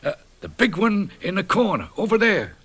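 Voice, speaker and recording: A man's voice: sits high, big pitch swings, normal speed, fairly bright tone, husky, full character voice. An actor in a radio drama playing a hearty older company boss who chuckles as he talks. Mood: jovial.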